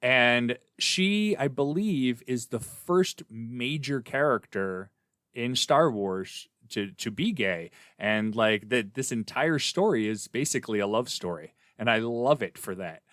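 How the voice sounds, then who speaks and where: A man co-hosting a casual book discussion with emphatic, halting phrasing. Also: medium pitch, lively intonation, a bit bright, no echo, studio quality. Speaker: podcast co-host.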